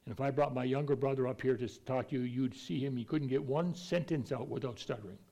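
A man's voice: medium pitch, fast, mellow. A man preaching a conversational Bible teaching, folksy and plainspoken, with an occasional stutter and restarts.

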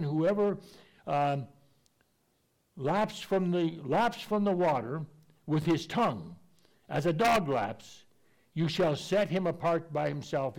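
A man's voice: on the high side, a little mellow, poor audio. A man preaching a conversational Bible teaching, folksy and plainspoken, with an occasional stutter and restarts.

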